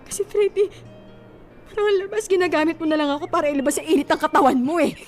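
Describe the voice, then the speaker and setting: A woman's voice: high voice, fast talker, soft background music, lightly acted. A woman acting a distressed, pleading wife who resists her husband in a radio drama. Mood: hurt, pleading. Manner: pleading, emotional protests.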